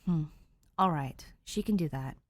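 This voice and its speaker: A woman voicing a gruff, defensive young clone girl in a storybook reading. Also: low voice, a little slow, no echo, studio quality. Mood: reluctant.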